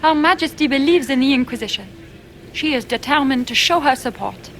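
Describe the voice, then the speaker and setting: A woman's voice: high voice, lively intonation, a little fast, fairly bright tone, faint music behind, rough recording. An actress playing an eager, deferential young noblewoman with a formal, gushing courtly manner in a fantasy video game. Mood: earnest.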